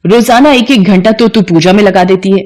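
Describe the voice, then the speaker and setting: A woman voicing a bitter older mother-in-law who scolds and taunts her daughter-in-law loudly. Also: mid-range voice, fairly quick, close to an everyday voice. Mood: scolding. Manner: harsh, taunting, loud.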